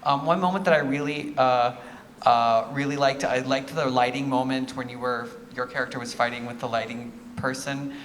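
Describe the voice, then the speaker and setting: A man's voice: mid-range voice, fairly even intonation, moderate pace, a bit bright. A man giving encouraging, conversational feedback to performers as a theatre judge. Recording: reverberant hall, faint noise.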